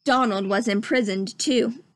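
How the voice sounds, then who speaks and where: A woman reading a children's story aloud as narrator, with expressive, dramatic storytelling. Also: a little high, measured pace, dry recording. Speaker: storybook reader.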